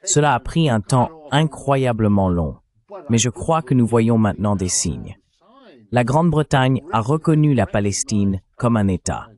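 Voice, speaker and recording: A man's synthetic dubbing voice reading political commentary in a measured, articulate way. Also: medium pitch, lively intonation, a little mellow. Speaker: voice-over translator for a panelist.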